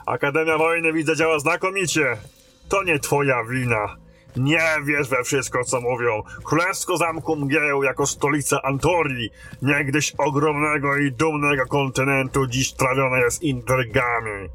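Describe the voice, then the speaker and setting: An actor playing a blunt, battle-worn fortress captain in a fantasy audio drama, giving orders with authority. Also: male voice, medium pitch, unhurried, pushed and bright. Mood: grave, explaining. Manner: commanding, barking orders.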